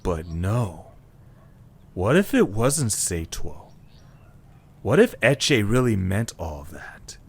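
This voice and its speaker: A man's voice: fairly low pitch, very animated, slow, pauses often, a little mellow, slightly rough. A man reading scripted narration as the narrator of a dramatic story.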